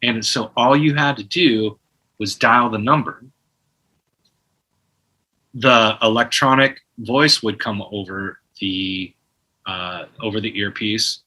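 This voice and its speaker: Bright-voiced man telling a story. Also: on the low side, slow pace, pauses often, rough recording. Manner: animated storyteller with frequent restarts, mimics phone tones. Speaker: guest musician. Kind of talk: casual podcast interview.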